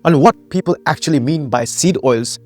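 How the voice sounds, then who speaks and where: A man's voice: on the high side, moderate pace, darkish tone, soft background music. A man from Nepal, founder and host of a health channel, giving a scripted educational narration with emphatic rhetorical questions.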